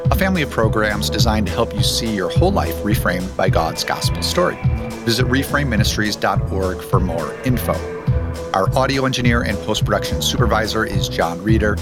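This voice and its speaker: A man's voice: lowish voice, animated, brisk, loud music behind the voice. A man hosting a podcast, discussing films and reading scripted narration. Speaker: podcast host.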